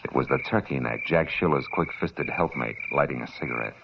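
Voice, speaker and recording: Tough private eye, deep voice, dry wisecracks, dull old radio sound, hiss behind. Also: male voice, brisk, gravelly. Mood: narrating. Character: hard-boiled male private eye, wisecracking. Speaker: narrator and lead detective. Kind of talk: 1940s radio acting.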